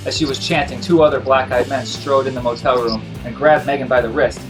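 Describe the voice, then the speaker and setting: A man's voice: mid-range voice, fairly even intonation, a little fast, music in the background, low-quality recording. Man narrating a tabletop horror game. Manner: vivid storytelling narration. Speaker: game master.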